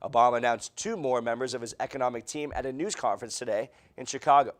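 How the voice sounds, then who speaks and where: A man's voice: mid-range voice, quick pace. A young man with an American accent reads scripted news in a formal newscaster delivery, as a reporter and anchor. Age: young adult.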